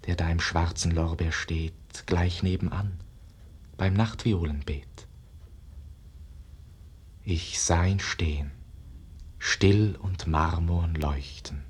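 An actor playing a dreamy, rapturous young painter's disciple, declaiming verse in a hushed, lyrical way in a 1950s radio drama. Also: male voice, low voice, breathy edge, full character voice. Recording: muffled-edged 1950s radio play recording.

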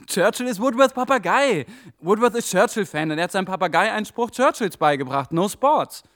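A man's voice: high-pitched, animated, brisk, fairly bright tone. A man playing an eager, urgent young man in a German radio drama. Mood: urgent, explaining. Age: young adult. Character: excitable, ambitious young German man piecing clues together.

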